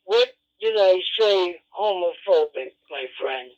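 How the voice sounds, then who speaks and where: Male radio operator, high voice, muffled digital transmission, confrontational and repetitive, unscripted on-air argument. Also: lively intonation, takes it slowly, a little mellow. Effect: two-way radio transmission.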